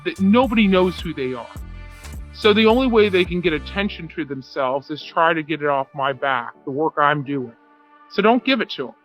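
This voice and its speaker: A woman's voice: low voice, animated, normal speed, faint music behind, poor audio. A woman giving a rambling, unscripted true crime commentary in a self-assured, boastful, argumentative way.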